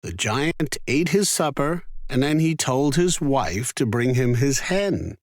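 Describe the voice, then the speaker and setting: A man narrating a scripted children's fairy tale in an expressive, careful storytelling manner. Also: mid-range voice, slow pace, no echo, clean studio sound.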